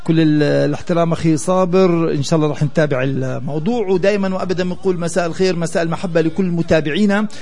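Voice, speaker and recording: A man's voice: fairly high pitch, racing, hardly any pauses, darkish tone. A man with a Palestinian Arabic accent hosting a live radio call-in show and addressing listeners conversationally.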